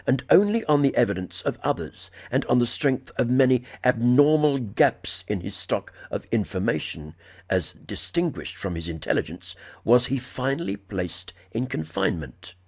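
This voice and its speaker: Male narrator for classic horror fiction: husky, expressive melody, formal and measured. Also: medium pitch. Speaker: audiobook narrator. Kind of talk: dramatic literary horror story reading.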